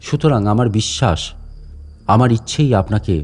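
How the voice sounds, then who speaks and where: A man's voice: fairly low pitch, normal speed, a little mellow, faint music behind, close to an everyday voice. A man playing a menacing old caretaker in a horror audiobook, a grieving, vengeful father who speaks with polite deference and sly questions. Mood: quietly menacing. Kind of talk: dramatic audiobook horror monologue.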